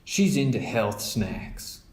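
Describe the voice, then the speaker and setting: A man voicing an excitable, bossy young boy bear cub in a children's storybook, eager and sure of himself. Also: medium pitch, slow pace, roomy sound. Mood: eager, planning.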